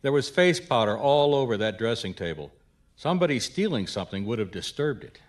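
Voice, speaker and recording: A man's voice: medium pitch, sing-song, fairly quick, natural voice. Man playing blunt private detective, mid-range male voice, plainspoken, sceptical, clipped questioning, radio drama. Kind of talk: radio mystery drama acting.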